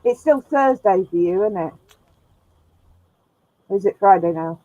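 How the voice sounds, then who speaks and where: Slow-talking bubbly woman, lo-fi sound. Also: mid-range voice, animated, dark tone, no room sound. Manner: chatty, laughs often, bubbly. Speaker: host of a sci-fi live stream. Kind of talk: casual chat. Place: room with a glass roof. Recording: dull lo-fi dry sound.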